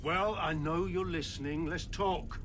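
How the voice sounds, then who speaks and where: An actor playing a ruthless, scheming private military boss in a video game cutscene, curt and commanding. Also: male voice, a little high, fairly even intonation, measured pace, loud music behind the voice, natural voice. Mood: impatient, scornful. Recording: noisy background ambience.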